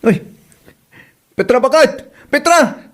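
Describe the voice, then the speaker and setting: A man's voice: extremely high pitch, expressive, takes it slowly, a bit bright, full character voice. A man playing a gullible, concerned adult son in a comedy audio drama, fussing urgently. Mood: worried, urgent. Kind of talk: comedy radio drama acting.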